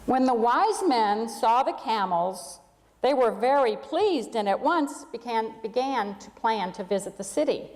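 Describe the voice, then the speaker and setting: Female storybook reader for a church congregation, higher-pitched voice, long hall reverb. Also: expressive, unhurried, a little mellow. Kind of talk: children's storybook read aloud to a congregation.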